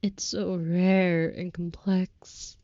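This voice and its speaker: Slow, mellow, courtly vampire lover played by a woman. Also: fairly low pitch, flat delivery, no room sound, highs cut off. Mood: surprised, admiring. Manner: formal, old-fashioned, tender, earnest. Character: devoted, overprotective, courtly female vampire lover. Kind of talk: intimate comfort audio roleplay.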